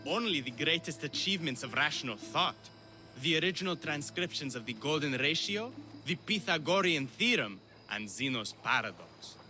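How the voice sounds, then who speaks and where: An eccentric philosopher of ancient Greece, self-satisfied and witty in a game scene. His very bright, sharp voice sits slightly high. Faint music plays underneath. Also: animated, moderate pace, low-quality recording. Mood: grandiose. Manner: self-satisfied, playful, theatrical. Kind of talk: video game cutscene acting.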